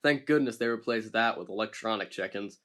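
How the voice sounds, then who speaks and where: A man's voice: on the low side, a little fast, lightly acted. A man playing a grumpy ship captain. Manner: grumpy, deadpan. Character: grumbling middle-aged male ship captain. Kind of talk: amateur audio drama acting.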